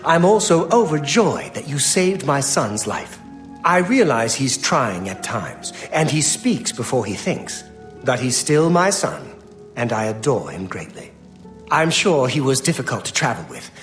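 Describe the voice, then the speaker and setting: A man's voice: medium pitch, big pitch swings, unhurried, a bit bright. An actor playing a gracious middle-aged count and doting father in a fantasy game, speaking formally and eloquently. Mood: gracious, relieved. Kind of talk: fantasy video game acting. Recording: roomy echo, faint music.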